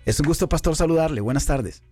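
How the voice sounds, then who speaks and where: A man's voice: on the high side, expressive, fast talker, no echo, soft background music. Effusive man hosting a radio show. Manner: warm, effusive greetings with hesitant fillers. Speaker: radio host. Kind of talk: live radio interview. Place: radio studio. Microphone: studio microphone.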